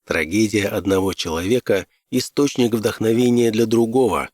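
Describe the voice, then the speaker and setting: A man's voice: on the low side, normal speed, darkish tone, dry recording, clean studio sound. A man reading fiction aloud as a scripted audiobook narrator.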